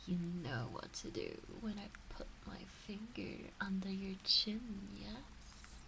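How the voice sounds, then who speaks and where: A woman playing a teasing, doting, motherly kitsune witch in an intimate ASMR roleplay, cooing in a breathy, whispery way. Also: medium pitch, sing-song, slow pace, pushed and bright, slight background noise, full character voice, low-quality recording. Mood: teasing, possessive.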